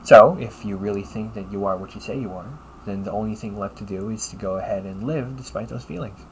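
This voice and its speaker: A man playing a polite, caring A.I. companion in an audio roleplay, speaking in measured, formal phrases and often checking with a questioning tag. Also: fairly low pitch, slight background noise, natural voice, poor audio. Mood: earnest, reassuring. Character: polite, caring male A.I. companion, gently logical and encouraging. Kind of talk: audio roleplay addressed to the listener.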